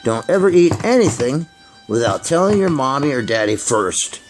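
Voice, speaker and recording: A man voicing a greedy, gleeful garbage can in a children's puppet show, speaking slowly and repetitively. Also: medium pitch, big pitch swings, music in the background, close to an everyday voice. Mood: friendly, preachy. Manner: slow, repetitive, savoring delivery.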